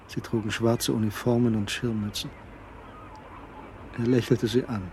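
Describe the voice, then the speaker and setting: A man narrating a radio drama in a measured, suspenseful, scripted delivery. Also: medium pitch, vocal fry, warm tone, a little hiss or hum.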